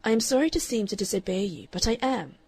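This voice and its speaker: A headstrong young woman speaks with bright, brittle cheer and a husky, slightly gravelly voice. The sound is close and dry, with a woman reader putting her on. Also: medium pitch, lively intonation, quick pace, dry recording. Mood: defiant. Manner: bright, falsely cheerful, then pleading. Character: defiant, spirited young woman.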